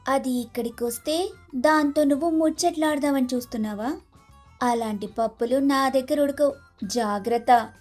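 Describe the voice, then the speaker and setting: A woman's voice: sits high, moderate pace, a little mellow, soft background music. A woman voicing a scheming, complaining young daughter-in-law with a dramatic manner in a family moral story. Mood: curt, wary. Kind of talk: moral-story cartoon dialogue.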